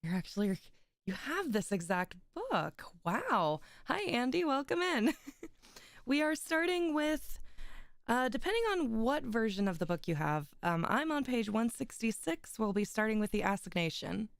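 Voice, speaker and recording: A young woman streamer, a little hoarse, chatting with viewers and then reading classic prose aloud with giggles and small stumbles. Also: a little high, big pitch swings, moderate pace, no room sound, clean studio sound. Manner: giggly, chatty, stumbles over foreign words. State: slightly rough, hoarse voice. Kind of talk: casual chat and literary reading aloud.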